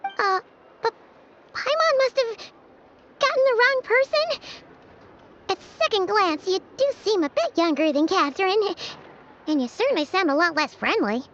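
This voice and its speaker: A woman's voice: very high-pitched, animated, moderate pace, pauses often, a bit bright, slight background noise. A woman voicing a tiny, cheerful, fairy-like girl companion in a video game, bubbly and a little boastful. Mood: confused. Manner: bubbly, boastful, speaks of herself in third person. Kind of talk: video game character dialogue. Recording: dull game stream audio under music.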